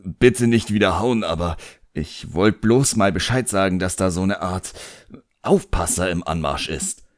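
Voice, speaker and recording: A low-pitched old imp servant, performed by a male audiobook reader, recorded close and dry. He is cheeky and put-upon, grumbling in slang and forever stalling. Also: a little slow, no room sound. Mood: urgent, cheeky.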